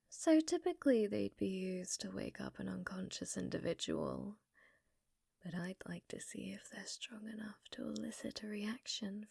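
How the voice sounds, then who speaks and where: A woman playing an obsessive, flirtatious scientist in an intimate ASMR roleplay, with soft breathy speech and precise clinical phrasing. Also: mid-range voice, no room sound, studio quality. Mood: clinical, curious. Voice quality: soft, breathy, often whispered.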